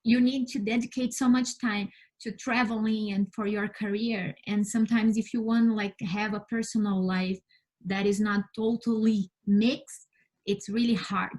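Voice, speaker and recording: Woman, Brazilian Portuguese accent, native Portuguese speaker, medium pitch, earnest, halting phrasing, conversational interview guest. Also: level tone, darkish tone. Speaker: interview guest, space scientist and mentor.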